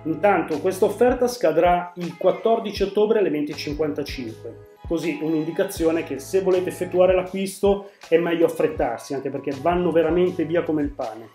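Guy in his 40s, highish voice, chatty product explainer, echoey room. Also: dark tone. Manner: explanatory, conversational. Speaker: tech channel host. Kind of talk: casual product presentation to camera. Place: small room at home, indoors. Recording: echoey room, music underneath.

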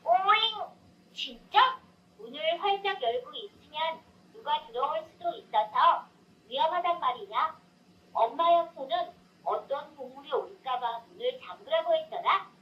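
High lively lady voice reading a kids story, playful quiz-style, kinda far off and echoey. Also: measured pace. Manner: playful, sing-song, cheering the child on. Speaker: talking doll storyteller. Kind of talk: children's story narration with quiz questions. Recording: roomy, distant, dull lo-fi sound.

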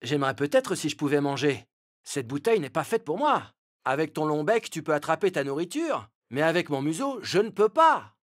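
A man voicing a cheerful, greedy male fox in a children's cartoon, talking in a lively, eager way. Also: a little high, a little fast. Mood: frustrated. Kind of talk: French children's cartoon dubbing. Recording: music bed under voice.

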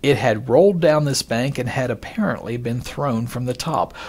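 Man narrating in a measured way. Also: medium pitch, a little mellow. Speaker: podcast narrator. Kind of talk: scripted narration with read-out quotes.

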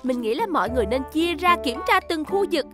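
A capable young female rescue dispatcher, gives instructions in a very high cartoon voice. Music is clearly heard behind her. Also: lively intonation, fast. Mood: serious. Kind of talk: cartoon dub.

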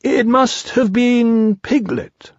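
A man's voice: extremely high pitch, takes it slowly, dry recording, highs cut off, pitched above the performer's own voice. A man voicing a naive, good-natured little bear with a thoughtful, hesitant manner for a storybook. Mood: hesitant, puzzled.

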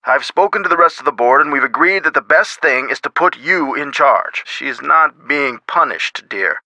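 An avuncular middle-aged lawyer from an adventure game. His voice is fairly high and very bright, with big melodic swings. He talks in a chummy, folksy, theatrical way, recorded close and dry. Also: expressive, normal speed, no room sound, exaggerated voice, poor audio. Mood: stern. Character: genial, avuncular middle-aged male lawyer and museum board member. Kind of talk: video game voice acting.

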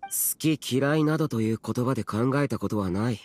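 A youthful immortal warrior from a video game, played by a man, solemn and aloof. He speaks at a middling pitch with reserved, archaic formality. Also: a little fast, slight background noise, close to an everyday voice. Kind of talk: Japanese video game voice acting.

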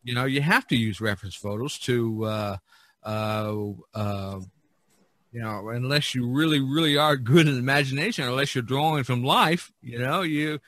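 A middle-aged or older man hosting a casual podcast discussion, talking haltingly with lots of repetition. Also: medium pitch, fairly bright tone, no room sound. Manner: halting, repetitive, peppered with 'you know'. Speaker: podcast host.

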